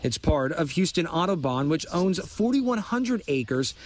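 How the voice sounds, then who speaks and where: A man's voice: fairly high pitch, big pitch swings, measured pace, slight background noise. A man reporting a news feature in scripted voice-over.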